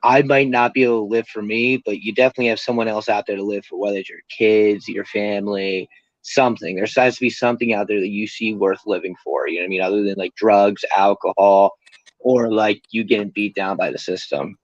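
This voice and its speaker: A man's voice: fairly low pitch, steady tone, a little fast, no echo, highs cut off. A young man in recovery, talking candidly and casually as an interview guest, rambling with lots of fillers and swearing freely. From Pennsylvania.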